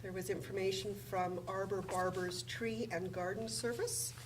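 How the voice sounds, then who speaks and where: A woman administrator reading formal council resolutions and figures aloud in a measured way in a council chamber. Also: mid-range voice, steady tone. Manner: measured, reads figures carefully. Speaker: municipal administrator. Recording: distant mic with room noise.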